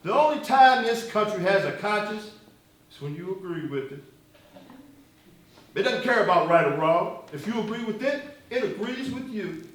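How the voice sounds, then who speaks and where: Heard far off in a very echoey hall, a male stage actor plays a defiant militant leader with a high-pitched male voice. He argues like an accusing orator, leaning hard on words and pausing deliberately. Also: animated, a bit bright, a little hiss or hum. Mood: indignant, scornful. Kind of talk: live stage play acting. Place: stage in a reverberant room, recorded from afar. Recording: echoey hall, distant microphone.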